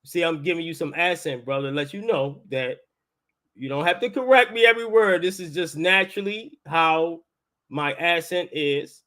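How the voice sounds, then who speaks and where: A man's voice: on the high side, moderate pace, pushed and bright. A man with a Baltimore accent hosting a casual talk, explaining things in an insistent, colloquial way. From Baltimore, United States. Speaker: host, linguistics teacher. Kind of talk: casual live talk with debate.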